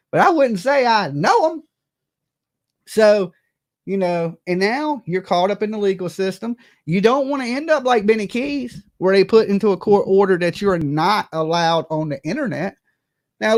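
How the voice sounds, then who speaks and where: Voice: medium pitch, animated, moderate pace. Casting: livestream gossip commentator, mid-range voice, brash and sweary, conversational, punctuates points with "right?" and "okay?". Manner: brash, profane, tags statements with "okay?" and "right?". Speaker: livestream host.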